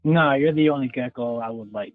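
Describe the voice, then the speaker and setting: A man's voice: medium pitch, fairly quick, dry recording. A young man in his twenties calling in by phone, rambling casually and hedging with lots of "like.". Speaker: caller. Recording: tinny phone line.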